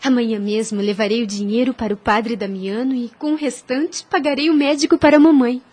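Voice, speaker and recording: A woman playing a gentle, pious young street singer and caring sister in a radio drama, speaking with earnest formality. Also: fairly high pitch, fairly even intonation, brisk, slight background noise, natural voice. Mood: reverent.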